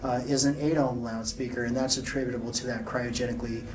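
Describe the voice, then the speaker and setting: A man's voice: mid-range voice, steady tone, quick pace, a little mellow. Guy at a trade show explaining tech stuff, bit far from the mic, some background noise. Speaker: loudspeaker company founder being interviewed. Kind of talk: informal trade show interview, explaining technical details. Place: exhibit room at an audio show. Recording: off-mic, noisy exhibit room.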